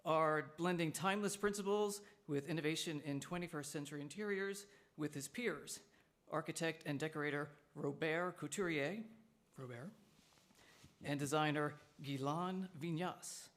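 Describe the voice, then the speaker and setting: Man, somewhat high voice, formal read-aloud introduction, event host. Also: moderate pace, a bit bright. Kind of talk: reading a prepared introduction.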